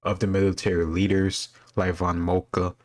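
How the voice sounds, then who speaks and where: Casting: male, very low voice, little pitch movement, off-the-cuff explainer who thinks aloud. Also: flat delivery, moderate pace. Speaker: host answering history quiz questions. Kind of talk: casual reaction commentary, thinking aloud.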